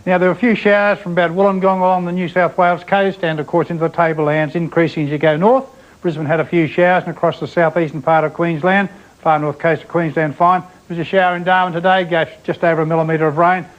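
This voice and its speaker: A man with an Australian accent presenting the weather on television, brisk and chatty as he talks through the charts. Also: a little high, little pitch movement. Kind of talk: semi-scripted weather report. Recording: dull, lo-fi TV broadcast sound.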